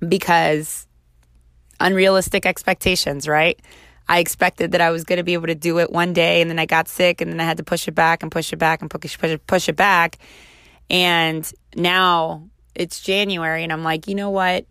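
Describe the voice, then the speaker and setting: A woman with a raspy voice recovering from laryngitis, chatting casually and encouragingly on her solo podcast. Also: fairly low pitch, flat delivery, normal speed, fairly bright tone, no room sound, studio quality. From Houston, Texas. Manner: chatty, encouraging, self-reflective. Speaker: podcast host.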